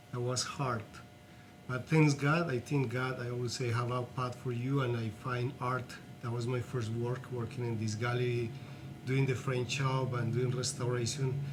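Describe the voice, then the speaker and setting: A man's voice: mid-range voice, slight background noise. Colombian-accented man, earnest and reflective. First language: Spanish. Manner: earnest, reflective, halting non-native English. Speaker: interview guest, artist. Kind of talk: personal storytelling in an interview.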